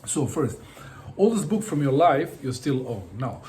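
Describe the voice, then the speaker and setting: A man's voice: mid-range voice, big pitch swings, a little slow, mellow, slight background noise. Hesitant middle-aged man from Bosnia. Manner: hesitant, many fillers and repeated words. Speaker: book vlogger. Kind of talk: casual talk to camera.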